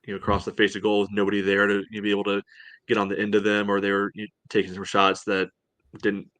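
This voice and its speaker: Casual male soccer podcast co-host, lowish pitch, conversational and hedging, tight dry sound. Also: monotone, quick pace, fairly bright tone, no room sound, poor audio. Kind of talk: casual sports discussion.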